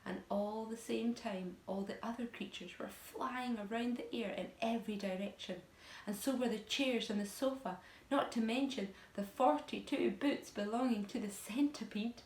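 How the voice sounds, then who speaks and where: A woman narrating a children's story aloud in an expressive style. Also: fairly high pitch, normal speed, slight background noise. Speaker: teacher reading aloud. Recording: echoey room.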